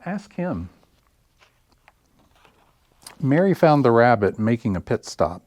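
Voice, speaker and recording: A man reading picture books aloud for children in an expressive, deliberate storytelling style. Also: medium pitch, dry recording. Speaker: library story time reader. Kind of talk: animated picture-book narration for children.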